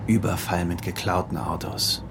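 An actor playing a hard young escaped convict in a radio drama, terse and menacing, with curt, commanding speech. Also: male voice, deep voice, fairly even intonation, normal speed, vocal fry, background noise. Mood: terse, guarded. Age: young adult. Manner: curt, clipped answers, commanding.

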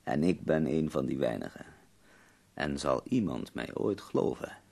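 An actor in a radio play voicing an ailing, embittered nineteenth-century poet with formal, ironic, deliberate speech. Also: male voice, rumbling low, sing-song, dark tone, full character voice. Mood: earnest, defensive. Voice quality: gravelly, husky. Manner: formal, deliberate, articulate, wry. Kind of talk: Dutch radio play acting.

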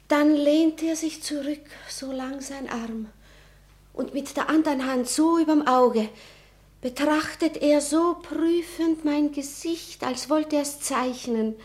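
A woman's voice: high-pitched, steady tone, slow, a little mellow. An actress playing a frightened, obedient young noblewoman in a classical radio play, theatrical and earnest. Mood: shaken, hushed wonder. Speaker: radio drama actor.

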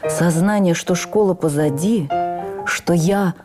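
A woman reads a first-person memoir as dramatic radio narration, with theatrical, expressive phrasing. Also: on the low side, slow pace. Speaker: actress reading a memoir in a radio production. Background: music under the voice.

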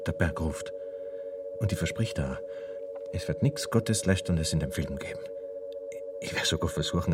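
A man's voice: sits low, natural voice. A man playing a stubborn, pleading mountain film maker and storyteller in a German radio play. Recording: distant mic, heavy background noise.

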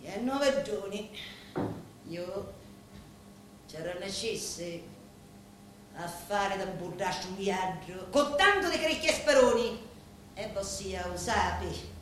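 An actress playing a proud, determined young Sicilian woman in a radio play, with a Sicilian accent and deliberate, dramatic delivery full of weighty pauses. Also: mid-range voice, lively intonation. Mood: bitter, insistent. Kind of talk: theatrical monologue in a radio play. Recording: very echoey room, faint background noise.

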